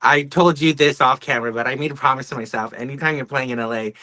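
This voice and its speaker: A man's voice: mid-range voice, steady tone, fast talker, pushed and bright. A man hosting a podcast, chatting enthusiastically with his guests. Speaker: podcast host. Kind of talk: enthusiastic interview chat.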